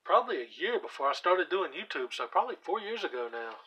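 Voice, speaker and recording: A hoarse man casually walking through his comic book collection, reading out titles with offhand asides while he sits on the floor at home. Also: on the high side, very animated, fast, background noise. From US South. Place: indoors at home, seated on the floor by a shelf. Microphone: camera aimed at the shelf, speaker off screen.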